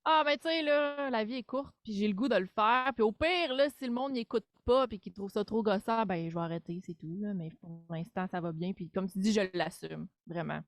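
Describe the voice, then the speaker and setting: A woman with a Québécois accent, chatting casually as a podcast guest, animated and self-deprecating, full of fillers. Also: medium pitch, brisk, hardly any pauses, no echo. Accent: Québécois French. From Quebec. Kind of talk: casual chat.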